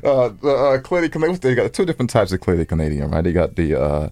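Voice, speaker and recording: Man, deep pitch, teasing, casual podcast banter, repeats himself for emphasis, dry sound with faint music. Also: fast talker, darkish tone, dry recording. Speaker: podcast co-host. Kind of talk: casual bantering chat with wife.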